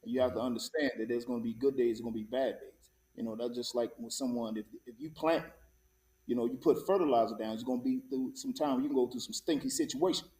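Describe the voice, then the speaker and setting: A man's voice: mid-range voice, steady tone, quick pace, darkish tone. A man giving casual, folksy advice as an interview guest, pressing his points by repeating them. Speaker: stage actor, show guest. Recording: echoey room, distant from the mic.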